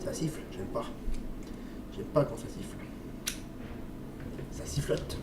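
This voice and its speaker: Chatty man with a head cold. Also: slow. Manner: chatty, hesitant, says "les amis" and "hop" often. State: stuffy with a cold, coughing. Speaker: vape liquid reviewer. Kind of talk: casual product review vlog. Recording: echoey room, distant mic, noisy.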